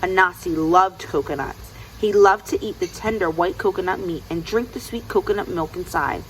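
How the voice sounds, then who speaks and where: A woman narrating a children's story aloud in an expressive storytelling style. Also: on the low side, moderate pace, slight background noise, poor audio. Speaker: storybook reader.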